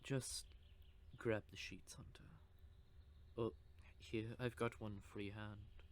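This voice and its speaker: A man voicing a cocky, possessive male vampire who teases with soft whispered asides, in an audio roleplay. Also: fairly low pitch, little pitch movement, slow. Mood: teasing. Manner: teasing, smug, with soft whispered stretches.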